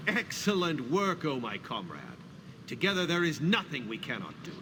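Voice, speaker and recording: Casting: a devout fantasy forge master, slightly high male voice, animated and exhorting, game dialogue over clear background noise. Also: moderate pace. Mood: hearty, pleased. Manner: formal, exhorting, emphatic. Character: hearty devout warrior smith, encouraging forge master. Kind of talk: video game character dialogue.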